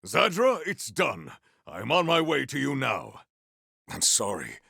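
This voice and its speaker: An actor voicing a proud, honourable video game warrior who speaks in a formal, archaic, solemn and theatrical way. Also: male voice, medium pitch, wildly swooping pitch, measured pace, gravelly, sharp tone, breathy edge, no room sound, studio quality, heavily acted. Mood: stoic, earnest. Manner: formal, archaic, grandiose phrasing.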